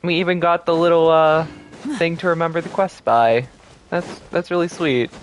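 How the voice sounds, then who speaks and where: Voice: medium pitch, fairly even intonation, moderate pace. A game streamer giving casual, chatty gameplay commentary. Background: game music.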